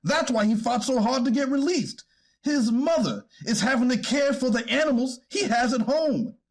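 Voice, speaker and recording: A man playing a deferential defense attorney in a courtroom readers theater, formal and hesitant when challenged, with a husky voice. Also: very high voice, animated, normal speed, exaggerated voice. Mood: earnest, pleading. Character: deferential male defense attorney pleading for his client. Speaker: actor reading a defense attorney.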